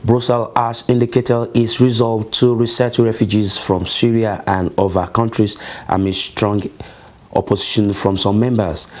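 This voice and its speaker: Low-voiced male news anchor. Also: darkish tone. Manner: formal, clipped newsreader delivery. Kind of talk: scripted news reading.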